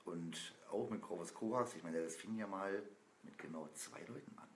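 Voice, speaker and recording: A man with a very low voice chats loosely on a sofa, filling pauses with ums and uhs as he wanders through his thoughts. He is recorded by a camera a few feet away in a small, echoey room with a faint hiss behind him. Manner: rambling, with many fillers. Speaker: band member. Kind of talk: casual chat on a live stream. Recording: roomy with faint noise.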